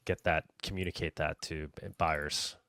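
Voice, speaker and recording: A man's voice: very deep voice, little pitch movement, moderate pace, pushed and bright, dry recording, clean studio sound. A man in a casual podcast conversation, explaining features as a developer and often checking that listeners follow.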